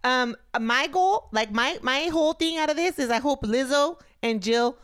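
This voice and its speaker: A woman's voice: sits high, normal speed, a bit bright, no room sound, clean studio sound. A woman co-hosting a podcast in casual chat, outspoken and swearing freely, mixing in some Spanish. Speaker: podcast co-host.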